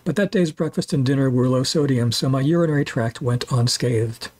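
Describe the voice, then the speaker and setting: A man's voice: medium pitch, a little fast, a little mellow. A man in his sixties reading a scripted voice-over for a food and walking tour, with dry, wry humor. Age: 60s. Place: voice-over, not recorded on location.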